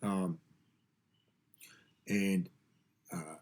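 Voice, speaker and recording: Stuffed-up, nasal man talking low. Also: steady tone, very slow, darkish tone. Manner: emphatic, repeats key phrases, frequent restarts. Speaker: podcast host and fasting coach. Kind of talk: casual motivational talk. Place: home garage studio.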